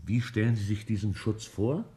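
A man playing a fussy, pedantic scholar in a 1950s German radio drama, with halting delivery. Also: on the low side, mellow, slightly rough. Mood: inquiring. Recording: vintage 1950s radio play recording.

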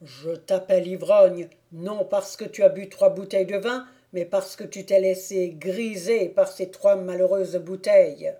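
A woman's voice: medium pitch, fairly even intonation, a little slow, few pauses, mellow, natural voice. A woman voicing a huge male stranger who is scornful and commanding.

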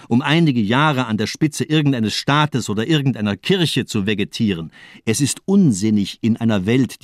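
A nihilist doctor and killer in a crime radio play. He declaims with grandiose conviction, his mid-pitched voice rising and falling dramatically. The sound is close and dry. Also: no room sound. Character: fanatical nihilist doctor, cold male torturer and murderer.

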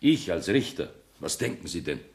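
Formal, low-voiced senior judge. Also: male voice, sing-song. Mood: firm. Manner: measured, formal, official. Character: formal, composed male senior judge, self-justifying. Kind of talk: 1970s German radio play acting.

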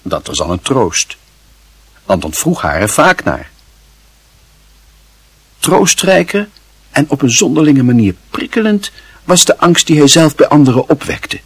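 A man's voice: medium pitch, husky, no room sound. A man reading literary fiction as a narrator, in a dramatic, scripted storytelling style.

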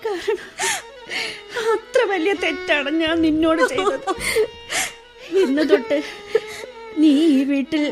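Tearful, high-voiced remorseful mother-in-law, music underneath. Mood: tearful pleading. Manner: tearful, pleading, slow and emphatic. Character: remorseful older mother-in-law begging forgiveness. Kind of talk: melodramatic radio drama acting. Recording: radio drama with music underneath.

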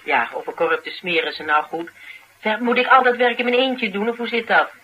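A woman's voice: mid-range voice, fairly quick, muffled recording, close to an everyday voice. An actress playing an assertive, wry woman investigator in a naturalistic Dutch radio drama, speaking in a dry, pointed way. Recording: radio drama with light background noise.

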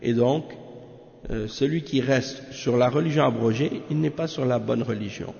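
Gravelly man with a cold, halting. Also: mid-range voice, warm tone. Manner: halting, many restarts and fillers. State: has a cold. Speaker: translator of a religious commentary. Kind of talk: reading Arabic text, then explaining in French. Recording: muffled roomy lo-fi recording.

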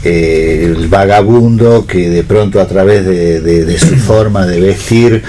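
A man speaks in a radio panel discussion. He is hesitant, pausing and circling as he builds his point. A little background noise sits behind him. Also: sits low, warm tone. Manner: hesitant, drawn-out pauses and filler words.

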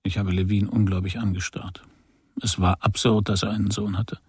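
An actor playing a sceptical, thoughtful investigator in a radio crime drama, measured and questioning. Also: male voice, on the low side, flat delivery, slightly rough. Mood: pensive.

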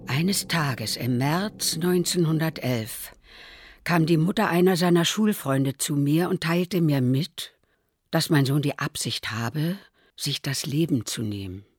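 An older woman playing an aging mother who soberly recalls her troubled son in a radio play, her voice low, husky and creaky. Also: slow, no room sound, studio quality, full character voice. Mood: grave.

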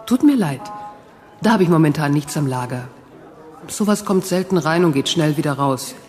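An actor voicing a brisk, polite shop clerk with salesman's patter in a German sci-fi radio play. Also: mid-range voice, lively intonation, a little mellow, lightly acted. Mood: brisk, apologetic. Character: chatty, businesslike shop clerk selling second-hand memories. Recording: reverberant hall with music behind.

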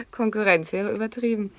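A woman's voice: fairly high pitch, fairly bright tone. An actress playing a direct, friendly young woman in conversational radio drama style.

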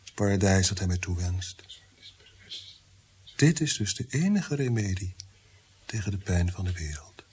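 Low, hushed, world-weary man. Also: expressive, a little slow, pauses often, slight background noise. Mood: bitter, resigned. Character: world-weary suffering father, bitter and resigned. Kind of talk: radio play acting.

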